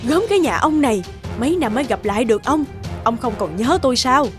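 A woman's voice: fairly high pitch, lively intonation, quick pace, over music, lightly acted. A woman voicing a hearty, insistent and grateful village housewife in a folk-tale cartoon. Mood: insistent, eager.

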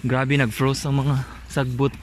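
A man's voice: mid-range voice, little pitch movement, normal speed, background noise. A young man vlogging in casual chat on a mountain hike, talking into a phone held at arm's length and mixing Filipino with English. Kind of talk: casual hiking vlog. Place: outdoors on a cold mountain road. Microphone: phone selfie at arm's length.

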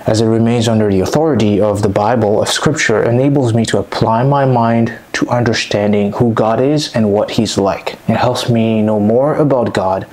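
A man in his thirties from Congo, a native French speaker, giving an earnest, measured vlog monologue into a lapel mic in a small dim room at home. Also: lowish voice, poor audio. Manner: measured, earnest, reflective delivery. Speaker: vlogger. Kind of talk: scripted personal vlog monologue. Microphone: clip-on lapel mic.

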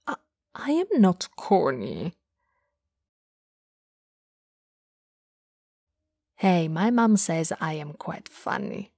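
A woman performs a playful, teasing goth older sister in a romantic ASMR roleplay. Her voice is dark and husky with breath, often slipping toward a whisper. She sits very close to the mic in a dry, intimate recording. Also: on the low side, huge pitch swings, slow pace, no room sound, exaggerated voice. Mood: mock offended, teasing. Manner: soft, breathy, drifting into whispers. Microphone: close, dry studio mic.

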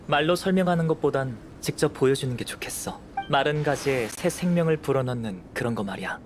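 An actor voicing a calm, scholarly young male alchemist in a game, soft-spoken and analytical, with music playing behind. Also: medium pitch, fairly quick, natural voice. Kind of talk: game voice acting.

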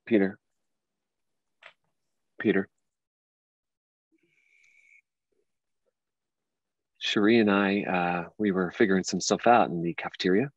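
In a dull, dry recording, a man plays a hurt, accusing ex-lover in his thirties, well-to-do and intense. His voice is husky, read as staged drama. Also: low-pitched, steady tone, normal speed, no echo. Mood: earnest. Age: 30s. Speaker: actor at a table read. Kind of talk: dramatic script reading.

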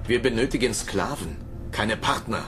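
A man's voice: fairly low pitch, animated, moderate pace, pushed and bright, a little hiss or hum. A man playing an impatient, ruthless alien commander in a sci-fi radio drama, curt and authoritarian. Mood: angry, contemptuous.

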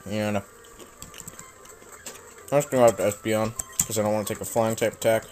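Young man rambling over a game, slightly raspy. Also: fairly low pitch, little pitch movement, normal speed, darkish tone, faint music behind. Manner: rambling, offhand, self-mocking. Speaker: let's play host. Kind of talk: casual gameplay commentary.

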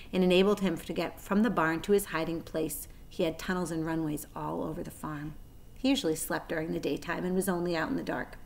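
A woman's voice: sits low, creaky. A woman in her forties reading a children's story aloud expressively, as a storyteller. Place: small plain room indoors.

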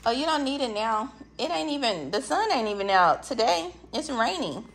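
A woman's voice: a little high, very animated, normal speed, fairly bright tone. A woman in her thirties chatting casually to her viewers, filming from behind the camera in her home kitchen. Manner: chatty, talks straight to viewers, says y'all often. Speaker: vlogger doing a grocery haul. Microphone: handheld camera, talking from behind it.

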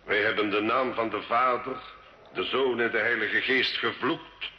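A male actor playing a cunning, scornful sorcerer and alchemist, solemn, measured and theatrical, in an old radio drama. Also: on the low side, fairly even intonation, natural voice. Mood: ritual incantation. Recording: dull, echoey, hissy old radio recording.

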